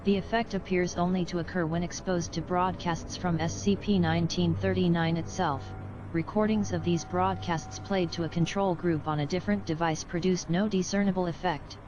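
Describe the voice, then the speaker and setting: A woman narrates in a fairly low voice over very prominent music. Her delivery is formal and clinical, like someone reading out an official report. The wording is scripted and precise. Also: steady tone, moderate pace, lo-fi sound. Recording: music behind the voice.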